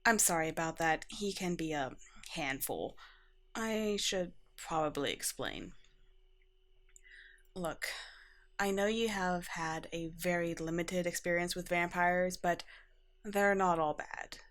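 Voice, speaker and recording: A woman acts as an exasperated young female vampire in an ASMR roleplay. Her voice sits a little low, and she talks in a halting, defensive way with sarcastic asides, caring underneath the irritation. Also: fairly bright tone. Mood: gentle, explaining. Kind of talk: ASMR roleplay acting, conversational.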